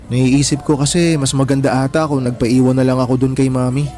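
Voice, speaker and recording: A man's voice: mid-range voice, level tone, fairly quick, mellow, soft background music, close to an everyday voice. A young man playing a sensitive, affectionate younger brother in an emotional radio drama, speaking in a boyish, earnest way. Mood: worried, guilty. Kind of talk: radio drama acting in Tagalog.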